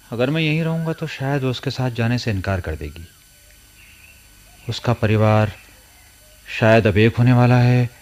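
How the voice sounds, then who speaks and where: A man acting an ardent but uneasy village lover in a Hindi radio play, theatrical and fervent. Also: medium pitch.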